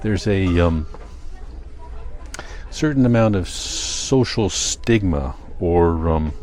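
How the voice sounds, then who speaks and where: An older man with a Canadian accent, chatting casually in a rambling vlog, thinking aloud in a halting, self-correcting way. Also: sits low, animated, darkish tone. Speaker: vlogger.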